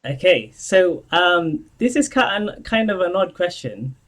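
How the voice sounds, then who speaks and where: A man hosting an interview in a conversational style, asking questions in a halting way. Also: sits high, sing-song, fairly bright tone. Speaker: interview host.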